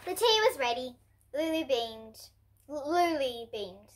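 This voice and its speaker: A young girl of about ten to twelve reading a children's storybook aloud in an expressive way. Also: sits high, takes it slowly. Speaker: host reading picture books for kids. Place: indoor room in front of bookshelves.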